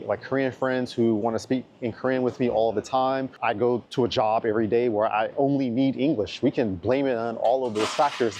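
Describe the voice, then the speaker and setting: A man's voice: mid-range voice, darkish tone, a little hiss or hum. Chatty man vlogging to camera. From United States. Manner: chatty, repeats words for emphasis. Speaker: university English teacher. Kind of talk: casual talk to camera.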